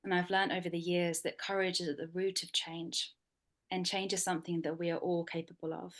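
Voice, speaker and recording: Woman host on a video call, low flat soft voice, lots of ums, calm moderator vibe. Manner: soft, measured, with frequent ums. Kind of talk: gentle reflective moderating of an online panel.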